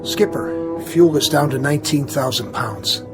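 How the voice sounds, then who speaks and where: Guy acting nervous flight engineer, slightly high voice, radio play, music really loud behind. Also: a little slow, lightly acted. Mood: tense. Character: anxious male flight engineer calling out readings.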